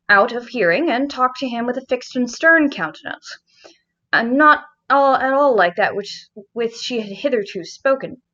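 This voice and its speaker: A woman narrator reading gothic fiction from a script in a deliberate, expressive, literary manner. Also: sits high, muffled recording. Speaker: audiobook narrator.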